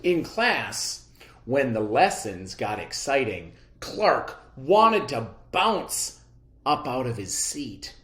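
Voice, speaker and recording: A read-aloud storyteller narrating a children's book with dramatic, expressive emphasis. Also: medium pitch, takes it slowly, roomy sound.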